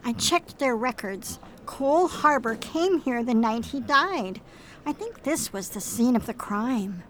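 High-pitched young female investigator, acted by a woman, perky, emphatic, eager, audio drama, faint noise. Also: animated, a little slow. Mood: urgent.

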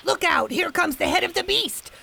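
A woman voicing a wisecracking talking animal companion in a radio play, with creaky tone and dry, sardonic quips. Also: very high-pitched, animated, moderate pace, heavy background noise, exaggerated voice. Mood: alarmed. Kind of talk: theatrical radio drama acting.

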